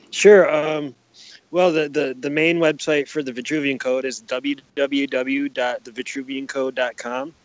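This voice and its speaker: A man's voice: medium pitch, level tone, unhurried, dry recording. Easygoing man on a dull, dry call. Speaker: podcast guest, author. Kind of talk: casual interview chat.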